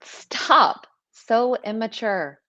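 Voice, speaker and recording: A woman's voice: fairly high pitch, lively intonation, extremely slow, bright, forceful tone, muffled recording, full character voice. A woman playing a skeptical, scoffing young female camper in a live horror script read. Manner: incredulous, scoffing.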